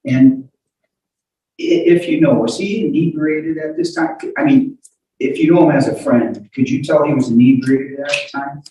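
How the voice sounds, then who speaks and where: A man working as a lawyer, putting questions to a witness in a halting, apologetic way. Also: on the high side, fairly even intonation, dark tone. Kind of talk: courtroom cross-examination. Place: indoor courtroom. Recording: echoey courtroom, distant mic.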